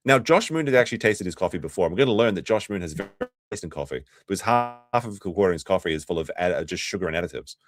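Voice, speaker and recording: A man's voice: low-pitched, animated, fast talker, hardly any pauses. An Australian man hosting a casual livestream, chatty and sarcastic, reacting to clips and laughing often.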